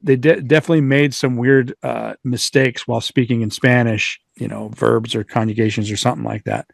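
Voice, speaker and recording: A man's voice: medium pitch, fairly even intonation, fairly quick, vocal fry, no echo, studio quality. A man chatting informally as a podcast host, retelling and reading out accounts, often wandering into asides, with some light swearing.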